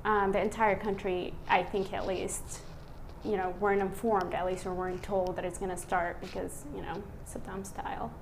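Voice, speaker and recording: A woman in her thirties from Iraq, a physician speaking as a podcast guest. She talks in a relaxed, reflective way, with many "um" and "like" fillers. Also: mid-range voice, moderate pace, vocal fry, a little hiss or hum, low-quality recording. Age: 30s. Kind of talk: casual conversational interview.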